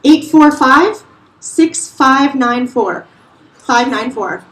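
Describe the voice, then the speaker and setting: A woman's voice: sits high, lively intonation, drawn-out words, a bit bright, slight background noise. Chatty upbeat woman emceeing in an echoey bar, faint murmur behind. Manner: upbeat, chatty, laughing easily. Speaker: host introducing readers. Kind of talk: live event hosting and raffle. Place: bar with a live audience. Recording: roomy live bar sound.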